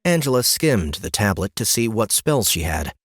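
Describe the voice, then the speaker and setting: A man's voice: on the low side, big pitch swings, normal speed, no echo, clean studio sound. A man narrating a fantasy novel as an audiobook, reading scripted story prose.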